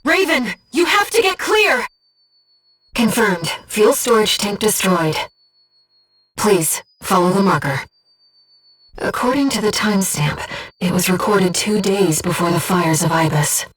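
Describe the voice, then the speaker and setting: A woman voicing a gentle, composed, earnest disembodied female guide in a video game, speaking in a measured, softly formal and precise way. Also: medium pitch, lively intonation, slightly rough, distant mic, rough recording. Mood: alarmed, urgent. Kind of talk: scripted video game voice acting, mission guidance. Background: music.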